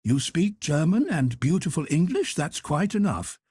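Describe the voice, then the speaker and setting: Storybook role: a pressing, kindly head cook of fifty, voiced by a man, husky, high and animated. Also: normal speed, no echo, studio quality. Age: 50s. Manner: effusive, pressing, motherly. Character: eager fifty-year-old woman, a hotel head cook.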